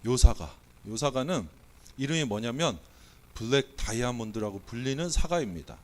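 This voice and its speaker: A man's voice: medium pitch, expressive, normal speed, darkish tone. A Korean man, a pastor, preaching a sermon with a weak voice from a cold, playfully quizzing the congregation and running a mock auction. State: has a cold, voice not coming out well. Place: church sanctuary.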